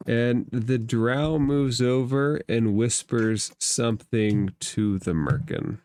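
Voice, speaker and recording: Guy game mastering a fantasy game, takes his time, halting, dry close sound. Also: mid-range voice, a little mellow, dry recording. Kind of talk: narrating a tabletop role-playing game.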